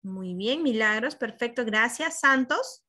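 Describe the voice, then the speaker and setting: A woman's voice: a little high, expressive, a little slow, pushed and bright. Peruvian woman teaching on a video call. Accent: Peruvian Spanish. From Peru. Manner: asks questions and praises students by name. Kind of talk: online class lesson.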